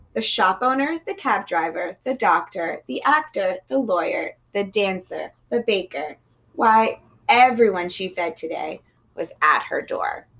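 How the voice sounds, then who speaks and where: A woman reading a children's picture book aloud in an expressive storytelling manner. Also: a little high. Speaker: storybook reader.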